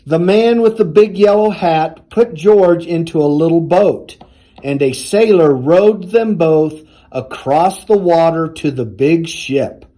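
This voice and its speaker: A man reading a children's storybook aloud in a deliberate, expressive storytelling manner. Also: on the high side, rough recording. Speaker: university athletics director reading aloud.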